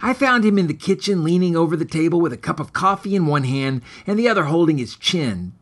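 Expressive, slightly husky male storyteller. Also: on the high side, a little fast. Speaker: podcast host and author reading his own story. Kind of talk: wry introduction and dramatic story narration.

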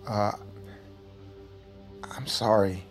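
A man's voice: on the low side, drawn-out words, faint music behind. An actor playing an awkward thirty-year-old man on a first date, hesitant and stammering. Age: 30s. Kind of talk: audio drama acting.

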